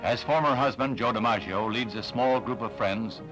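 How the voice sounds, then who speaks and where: A man's voice: medium pitch, normal speed, low-quality recording. A man narrating a 1960s newsreel in a solemn, formal announcer manner. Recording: vintage newsreel soundtrack with music. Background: background music.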